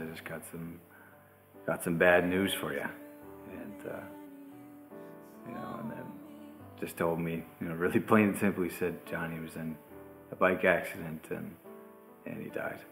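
A man in his twenties telling a personal story in a halting, emotional way, with many restarts, in a living room. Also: low voice, creaky. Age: 20s. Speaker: grieving brother. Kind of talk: personal testimony.

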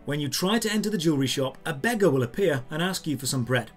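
Male guide narrator, slightly high register, scripted instructional delivery over background music. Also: expressive, quick pace. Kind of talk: scripted step-by-step tutorial narration.

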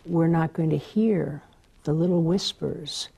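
Older woman, TV interview guest; low, gravelly, husky voice, earnest and affirming. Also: measured pace, mellow. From Southern California. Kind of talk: television interview. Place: studio set, indoors.

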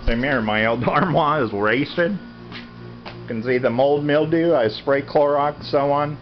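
A man's voice: on the high side, slow pace, faint music behind. An American man in his fifties giving a casual, rambling, matter-of-fact walk-through commentary into a handheld camera. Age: 50s. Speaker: vlogger, farm resident. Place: indoors, cluttered concrete basement. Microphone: handheld camera at arm's length. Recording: dull lo-fi camera audio, loud background sound. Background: loud continuous radio playing.

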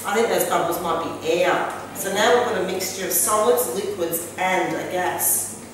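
A woman's voice: on the low side, moderate pace. A middle-aged woman presenting a cooking show, asking a child questions in a friendly, teacher-like way. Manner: encouraging, asks the child questions. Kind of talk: friendly classroom-style cooking demonstration. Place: kitchen classroom, indoors. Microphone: far camera mic. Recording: echoey room from far off, noisy.

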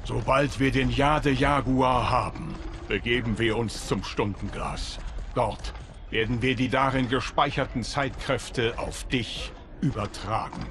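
An actor playing a solemn, loyal guardian of time in video game dialogue, formal, deliberate and grave. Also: male voice, mid-range voice, steady tone, a bit bright, a little hiss or hum. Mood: solemn, measured. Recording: music behind the voice.

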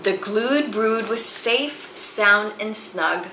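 A woman in her forties reads a picture book aloud, her voice high, bright and lively as it rises and falls through the story. She takes each line slowly and expressively, in an echoey room with a faint hiss of noise. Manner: expressive storytelling, slow and animated. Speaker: children's book reader. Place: room with bookshelves and chalkboard, indoors.

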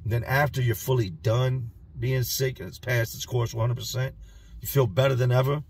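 A man in his thirties with a raspy voice, vlogging bluntly from a parked car. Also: medium pitch, steady tone, normal speed, darkish tone. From Virginia, US. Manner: blunt, plain-spoken street talk. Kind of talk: casual talking-head vlog. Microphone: phone camera at arm's length.

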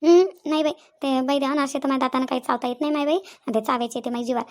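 A woman's voice: high voice, fairly even intonation, quick pace, darkish tone. A woman voicing a chatty old village neighbour in a comedy cartoon, rambling in a rural Vidarbha Marathi accent. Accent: rural Maharashtrian, Vidarbha.

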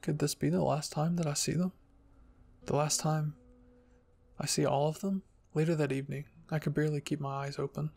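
A man narrating a first-person story in a scripted ASMR reading style, with a husky, gravelly voice. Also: fairly high pitch, little pitch movement, fairly quick, a little mellow, faint music behind. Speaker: fan fiction reader and narrator.

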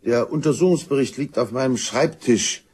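An actor playing a matter-of-fact, probing police detective in a German crime radio play, with a husky voice. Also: male voice, medium pitch, normal speed, darkish tone, lightly acted. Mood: businesslike.

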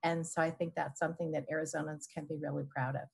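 A woman with a fairly low voice speaks over a video call from a room at home. She explains things in a measured way as a panelist, pausing often with ums and uhs. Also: level tone, vocal fry, slightly rough. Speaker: historian panelist. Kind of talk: panel discussion answers.

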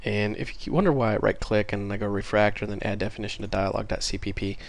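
Gravelly, congested man teaching code. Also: fairly low pitch, quick pace. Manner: chatty, easily excited, thinks aloud while typing. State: congested from a cold. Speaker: programming tutorial host. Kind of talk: casual screen-recorded coding tutorial.

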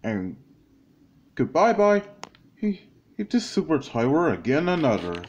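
A man reads a children's story in a high voice, taking his time between halting phrases. His pitch jumps around in an animated, exclamatory read-along style. The recording is dull, with faint noise behind it. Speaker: storyteller reading a homemade children's book.

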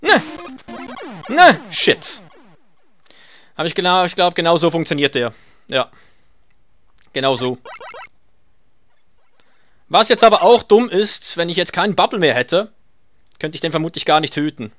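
Male let's-player, somewhat high voice, dry sarcasm, faint game music underneath. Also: sing-song, a little slow, long pauses, sharp tone. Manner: sarcastic, swears when hit. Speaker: let's play gamer. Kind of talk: casual gameplay commentary.

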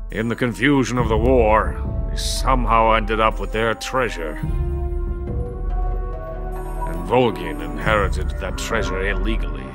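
Casting: male actor as proud, embittered older Soviet scientist, hard-drinking, low-pitched, theatrical game drama over music. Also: close to an everyday voice. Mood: resentful, explanatory. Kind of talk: dramatic video game cutscene acting.

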